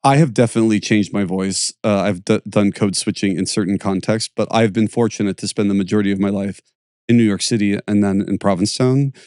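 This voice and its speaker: A man with a lowish voice hosts a podcast conversation. He talks in an energetic, performative way, and the sound is close and dry. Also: brisk, no room sound. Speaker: podcast host and fitness teacher.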